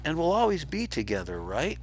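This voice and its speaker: Man acting out a high-pitched, pleading young lion cub. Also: wildly swooping pitch, fairly quick, faint music behind, rough recording. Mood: eager, trusting. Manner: pleading, crying out. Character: young lion cub, frightened and trusting boy. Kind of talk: animated children's storybook read-aloud.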